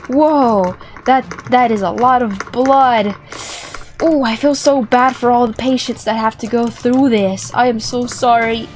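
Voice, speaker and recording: A young woman giving excited, playful gameplay commentary, animated and exclamatory, often repeating words for effect. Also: fairly high pitch, unhurried. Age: young adult. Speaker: gaming video host. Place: small indoor room set up for streaming. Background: background music.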